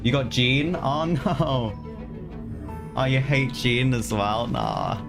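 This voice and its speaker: A man's voice: mid-range voice, slow, gravelly, over music. A man livestreaming a video game, chatting casually with his viewers, often repeating his phrases and calling them lads. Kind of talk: casual live gameplay chat with viewers. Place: voice over live video game play, game music behind.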